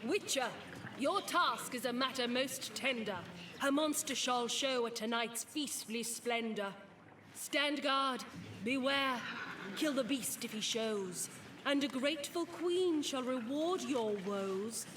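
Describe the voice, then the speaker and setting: A woman's voice: sits high, slow, noisy background. An actress playing a regal queen and mother in a video game's stage play, giving stately, commanding rhymed orders. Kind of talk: rhyming stage-play verse in a video game. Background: game music.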